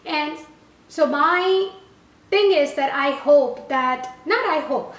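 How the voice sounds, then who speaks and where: A woman's voice: sits high, takes it slowly, a bit bright. A woman whose first language is Gujarati, an online language teacher and business owner, giving casual advice to camera and correcting herself often. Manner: conversational, frequent "you know" and self-corrections. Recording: roomy echo, a little far from the mic.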